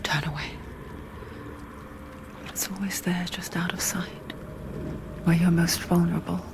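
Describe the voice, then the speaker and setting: A woman playing a knowing narrator spirit in a video game, confiding slowly and intimately to the listener in a breathy whisper. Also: fairly low pitch, flat delivery, heavy background noise. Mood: ominous, confiding.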